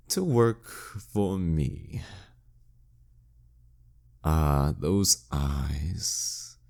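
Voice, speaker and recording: Man voicing a deep, slow, velvety demon king. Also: vocal fry, darkish tone, heavily acted. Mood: possessive, teasing. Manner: teasing, condescending, menacingly courtly. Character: reborn demon king, smug, dominant, seductive villain. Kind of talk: flirty audio roleplay monologue addressed to the listener.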